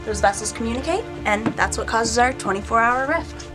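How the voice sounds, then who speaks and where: A woman's voice: fairly high pitch, a little fast, music in the background, close to an everyday voice. A woman playing a mysterious, unflappable guardian of a magical table in a screen drama, speaking with composed, cryptic authority. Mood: cryptic, explanatory. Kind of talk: measured screen drama acting.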